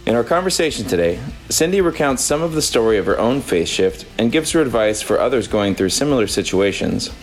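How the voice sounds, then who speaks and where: A man hosting a podcast, reading a scripted introduction and then asking questions in a relaxed, friendly way. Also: mid-range voice, fairly quick, hardly any pauses, soft background music, poor audio. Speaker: podcast host.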